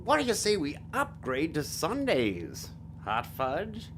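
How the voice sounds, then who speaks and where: Casting: male actor for soft-hearted, unsure family man in an audio drama; lively intonation, slight background noise. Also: fairly high pitch, slow, heavily acted. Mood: playful, fatherly. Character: gentle, self-doubting married father and fading performer.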